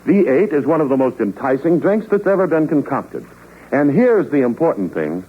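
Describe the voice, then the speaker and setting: A man reading a commercial as an announcer on a 1940s radio show, smooth and persuasive. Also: fairly high pitch, big pitch swings, normal speed. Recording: tinny old radio broadcast.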